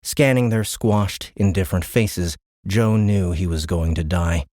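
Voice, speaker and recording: A man narrating a science fiction audiobook in a measured, suspenseful storytelling manner, close to a studio microphone. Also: sits low, a little mellow, no room sound.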